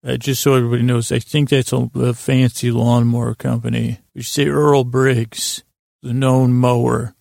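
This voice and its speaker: A man hosting a bedtime podcast, rambling in a halting, meandering way with a gravelly, creaky voice. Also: medium pitch, flat delivery, a little mellow, no echo, clean studio sound. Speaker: podcast host. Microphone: close podcast microphone.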